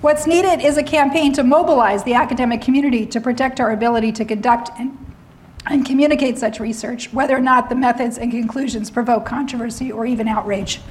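A middle-aged woman lecturing on academic freedom, slightly hoarse, often clearing her throat and adding wry asides. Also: on the high side, fairly even intonation, quick pace, darkish tone, slight background noise, rough recording.

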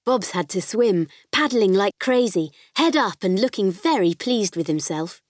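A woman playing a spirited, candid farm girl, narrating her diary in an expressive, conversational audiobook style. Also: medium pitch, unhurried, dry recording, clean studio sound. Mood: fond, cheerful.

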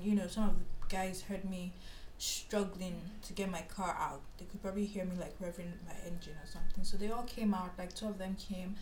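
A woman from Nigeria tells a story at an easy pace, chatty and animated, with plenty of "like" and "you know". Her voice sits mid-range and carries a noticeable roomy echo with a faint hiss behind it. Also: mid-range voice. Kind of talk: casual storytelling chat.

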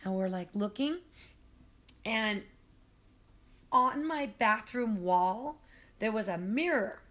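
A hoarse middle-aged woman telling a spooky story at her kitchen table, rambling with many ums and self-corrections, recorded on a phone. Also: mid-range voice, expressive, takes it slowly, a bit bright. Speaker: guest storyteller. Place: apartment kitchen at home.

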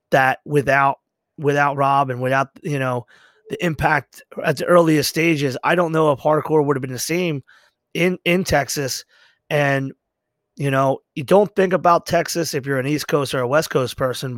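A man's voice: mid-range voice, no echo, clean studio sound. A middle-aged man from the United States hosting a conversational interview, speaking in an earnest, emphatic and informal way. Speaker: podcast host.

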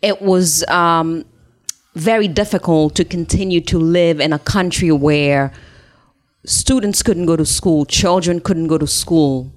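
Low-voiced woman telling her story. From Haiti. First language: Haitian Creole. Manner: earnest, measured storytelling. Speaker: interview guest, business founder.

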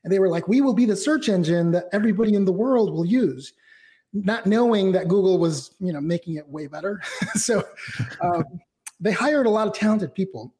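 High-voiced reflective man, interview guest. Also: animated, moderate pace, a little mellow. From Mexico. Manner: reflective, talks in long winding sentences with fillers. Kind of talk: casual interview.

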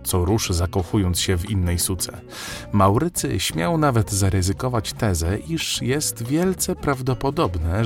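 A man narrating a scripted children's story in an expressive, wry way. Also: lowish voice. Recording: faint music behind narration.

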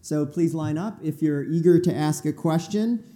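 A moderator addresses the audience at a public forum. The voice is dark and relaxed. Instructions come in orderly, clipped phrases, set out point by point. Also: mid-range voice, normal speed.

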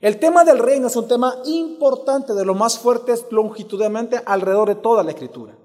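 A man's voice: extremely high pitch, a little fast, darkish tone, long reverb tail. A man with a Salvadoran Spanish accent, preaching as a pastor, telling a story and then building to emphatic points while quizzing his congregation. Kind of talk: sermon with personal story.

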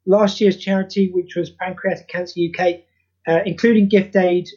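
A man's voice: sits high, steady tone, moderate pace, mellow. UK man, podcast guest, rambling and self-deprecating, muffled lo-fi mic. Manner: hesitant, many fillers, self-mocking. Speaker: guest, audio drama writer. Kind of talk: casual chat. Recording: dull lo-fi audio.

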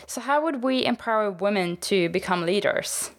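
Gravelly-voiced woman interviewer from Sweden. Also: medium pitch, animated, moderate pace. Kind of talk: interview questions and comments.

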